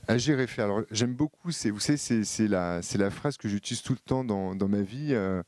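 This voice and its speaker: A man's voice: lowish voice, a little fast, darkish tone, no echo. A man with a French accent giving a live talk to an audience, hesitant and full of fillers and repeated words. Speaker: conference speaker.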